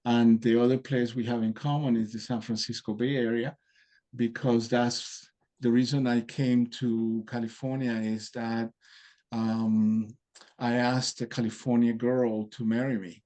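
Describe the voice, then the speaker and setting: Mellow man. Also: mid-range voice, level tone, unhurried. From Colombia. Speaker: participant in a group video meeting. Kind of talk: casual chat. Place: video call.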